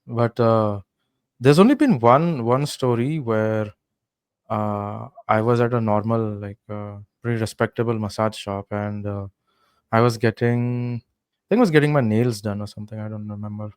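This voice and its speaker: A young man from India, a podcast guest, telling travel stories in relaxed casual chat with many fillers. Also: lowish voice, normal speed, smooth, no echo.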